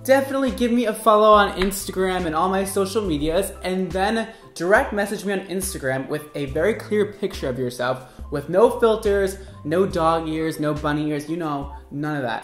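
A man's voice: fairly high pitch, reverberant. A man in his twenties with an American accent, giving casual reaction commentary as host in a sassy, exclamatory, campy way. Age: 20s. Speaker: host, hairdresser. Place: indoor studio set at a desk.

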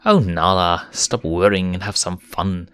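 A man's voice: low voice, a little slow, fairly bright tone, clear, resonant tone, no room sound, faint music behind. A man voicing a cheeky, carefree young male lion cub in a lightly raised voice. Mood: reassuring.

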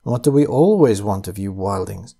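A man reading aloud, voicing a soft-spoken, teasing young woman who pilots a flying ship in a storybook. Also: fairly low pitch, lively intonation, moderate pace, gravelly, slightly rough, pitched below the performer's own voice, full character voice. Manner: soft, lilting, playfully condescending.

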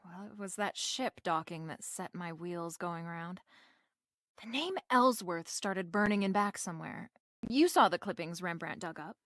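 A woman's voice: fairly low pitch, unhurried, fairly bright tone, dry recording, studio quality. An actress playing a wry, confident female private detective in a radio drama, with a breezy, slangy, wisecracking delivery. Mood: wry, explaining.

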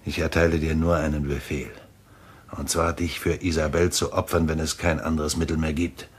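An actor playing a grimly resolved, obsessed husband in a German thriller radio play, brooding and deliberate in manner, with a husky voice. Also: male voice, extremely low pitch, little pitch movement. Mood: grim resolve.

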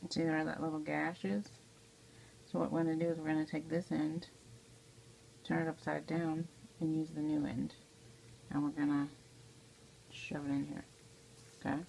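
A woman's voice: low-pitched, flat delivery, moderate pace, vocal fry, long pauses, darkish tone, slight background noise, rough recording. A woman giving a casual step-by-step tutorial in an informal way, often checking in with the viewer, recorded on a handheld phone in a home kitchen. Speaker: amateur how-to video maker. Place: home kitchen, indoors at the stove. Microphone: handheld phone behind the camera.

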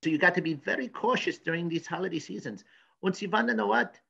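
A man's voice: sits high, fast talker, vocal fry, bright, forceful tone, husky, highs cut off, exaggerated voice. A man playing a cranky, pompous professor in a comedy news sketch, rambling and stammering. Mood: rambling advice. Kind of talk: improvised mock news interview comedy.

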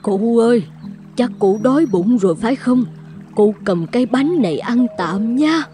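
A woman's voice: on the high side, expressive, moderate pace, dark tone, close to an everyday voice. A woman voicing a kindly old village woman in a fairy-tale cartoon. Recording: faint music behind the voice.

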